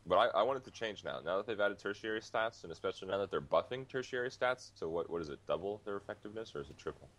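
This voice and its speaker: A man's voice: fairly low pitch, expressive, racing, a bit bright. A man talking casually as a gaming podcast co-host, rambling and repeating himself as he reacts.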